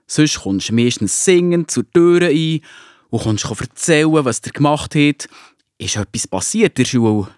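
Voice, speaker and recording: A man playing a loving, patient father in a children's audio drama, gently reassuring, with a Swiss German accent. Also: fairly high pitch, animated, normal speed, no echo, studio quality, natural voice. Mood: concerned, gentle.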